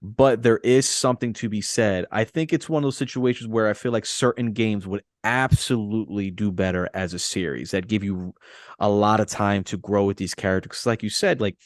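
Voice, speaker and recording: Lower-voiced man in casual podcast chat. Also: a little fast, no room sound, studio quality. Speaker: podcast co-host. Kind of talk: casual, conversational discussion.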